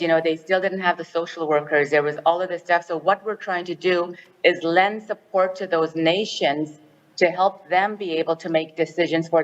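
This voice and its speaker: A woman's voice: lowish voice, long reverb tail, dull audio. A woman chairing a directors forum, speaking earnestly to an assembly by phone and explaining a resolution with frequent restarts. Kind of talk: formal assembly remarks by phone.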